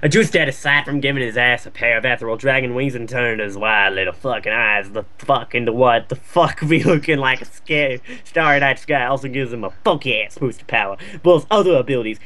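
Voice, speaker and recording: A man's voice: medium pitch, a little fast, hardly any pauses, sharp tone. A man reading fan fiction aloud for comedy, slangy and sweary, with casual jokey asides.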